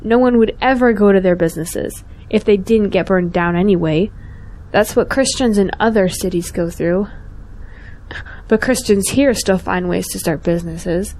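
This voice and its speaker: A woman's voice: medium pitch, normal speed, a little mellow, natural voice, poor audio. A young woman playing a principled, outspoken schoolteacher in an audio drama, earnest and pointed. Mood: sarcastic, pointed. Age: young adult.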